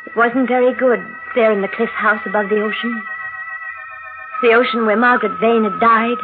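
An actress playing an anxious, jealous wife in a 1940s radio thriller, hesitant and dramatic on an old broadcast recording. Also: female voice, on the high side, lightly acted. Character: anxious, jealous wife narrating and pleading, evasive. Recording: old radio broadcast, thin phone-like sound, faint music.

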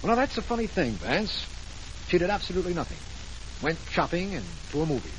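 An actor playing an earnest, businesslike district attorney in a 1940s radio drama, plain-spoken and full of questions. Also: male voice, high voice, very animated, quick pace, low-quality recording. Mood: puzzled. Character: earnest, businesslike male district attorney, puzzled but cooperative. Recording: faintly hissy old radio recording.

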